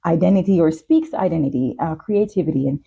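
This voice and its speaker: A woman's voice: sits low, quick pace, vocal fry, mellow, slightly rough. A woman hosting a talk show, presenting and putting questions to a guest. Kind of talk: hosted talk show, sponsor reads and interview questions.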